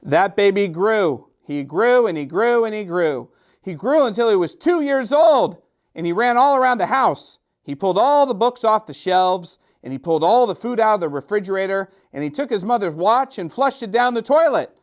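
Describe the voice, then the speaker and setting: Man reading as a gentle high-voiced storybook narrator. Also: expressive. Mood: storytelling. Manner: deliberate, repetitive cadence. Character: gentle storybook narrator of a tender parenting tale. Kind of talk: reading a children's book aloud.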